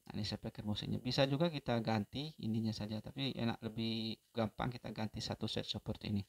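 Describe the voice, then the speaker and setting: A man giving an unhurried, step-by-step phone repair tutorial, pausing often with fillers. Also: fairly low pitch, fairly even intonation, gravelly.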